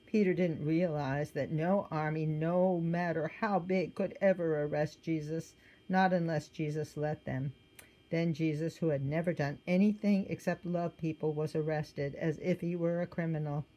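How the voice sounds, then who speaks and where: Low-voiced woman reading a storybook aloud. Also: steady tone, muffled recording. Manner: gentle, pausing to point at the pictures. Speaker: storybook reader. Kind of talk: expressive storybook read-aloud.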